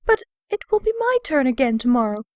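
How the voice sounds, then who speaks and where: Casting: woman as ladylike cartoon pony, high lilting voice, swooping delivery, doting and dramatic, dry studio sound. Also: sing-song, a little fast, no echo. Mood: worried. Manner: genteel, theatrical, doting. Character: refined, dramatic, affectionate ladylike female unicorn pony. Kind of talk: animated fan audio drama.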